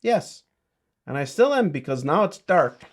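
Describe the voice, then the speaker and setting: A man's voice: a little high, sing-song, unhurried, voice pushed up, exaggerated voice. A man voicing a timid, worried girl penguin from a children's storybook. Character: timid, worried girl penguin who finds her courage.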